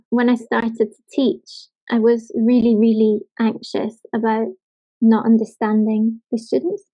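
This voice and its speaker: A woman's voice: on the high side, gravelly, warm tone, rough recording. A woman from Scotland with a light Scottish accent, giving thoughtful practical advice in a casual conversation.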